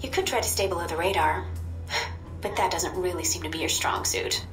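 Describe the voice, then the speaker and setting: An actress playing a composed, wry intelligence handler in a video game, with a measured, matter-of-fact manner. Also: female voice, lowish voice, lightly acted. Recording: roomy sound with music behind.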